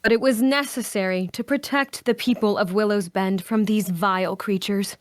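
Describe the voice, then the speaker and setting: Woman acting a clear, righteous fantasy heroine. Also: medium pitch, normal speed, no echo, clean studio sound, close to an everyday voice. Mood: solemn, righteous. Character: solemn, righteous, pious female adventurer. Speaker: actor at a table read.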